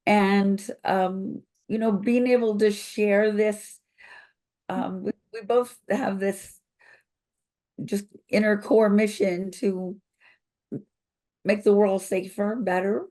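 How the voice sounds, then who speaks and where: An older woman with a froggy, slightly rough voice from a recent illness talks at a very unhurried pace. She gathers her thoughts with pauses and fillers, speaking over a video call from a home office. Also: mid-range voice, fairly even intonation, warm tone. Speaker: company founder, guest.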